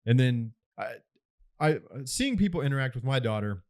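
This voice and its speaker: एक आदमी, तीस के दशक में, पॉडकास्ट पर हल्के-फुल्के अंदाज़ में बात करता हुआ, अक्सर हँसता और सोचते-सोचते बोलता हुआ।. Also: male voice, medium pitch, moderate pace. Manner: animated, laughs often, thinks aloud. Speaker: podcast co-host. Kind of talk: casual chat.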